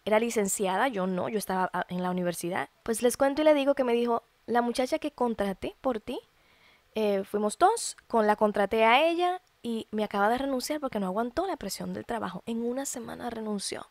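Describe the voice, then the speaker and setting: High-voiced Dominican woman sharing her story. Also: a little fast, no room sound. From Dominican Republic. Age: early 30s. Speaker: podcast host. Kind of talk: personal testimony podcast monologue.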